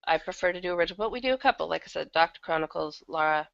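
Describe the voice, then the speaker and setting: A woman's voice: fairly low pitch, expressive, racing, creaky, dry recording, lo-fi sound. A woman chatting casually as an interview guest, talking in a loose, conversational way and sometimes correcting herself. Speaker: interview guest, audio drama producer and audiobook narrator.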